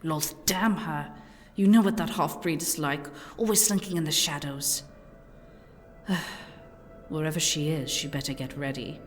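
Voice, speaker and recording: An actress playing a bitter, bloodthirsty drow elf adventurer in a fantasy audio drama, with a scornful, dramatic delivery. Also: female voice, low voice, unhurried. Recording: long reverb, music underneath.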